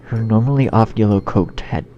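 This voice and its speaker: A man narrating fan fiction in outtakes, halting and restarting, swearing at his own slips in a self-deprecating way. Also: on the low side. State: shivering from cold. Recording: dull lo-fi sound, loud music. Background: loud music.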